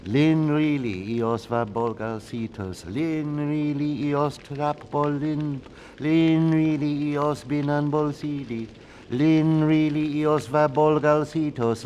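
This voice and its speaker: A man's voice: a little high, darkish tone, highs cut off. A man playing a brooding, menacing guardian of sacred burial grounds in a video game, given to muttering and chanting. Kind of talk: video game cutscene acting.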